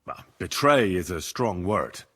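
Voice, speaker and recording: Low, husky young diplomatic companion. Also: male voice, big pitch swings, a little slow, fairly bright tone, exaggerated voice. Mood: tactful, reasoning. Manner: tactful, plain-spoken, diplomatic. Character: tactful young man-at-arms, calm and diplomatic. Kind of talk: video game cutscene acting.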